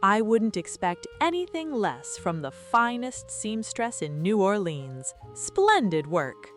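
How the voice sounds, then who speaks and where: A big, cheerful, doting storybook father, as a woman narrator plays him. He talks in a hearty, folksy way with lively swings and unhurried pace over music. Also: medium pitch. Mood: proud. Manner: hearty, folksy, mock-stern. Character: large, cheerful, doting Southern father, indulgent.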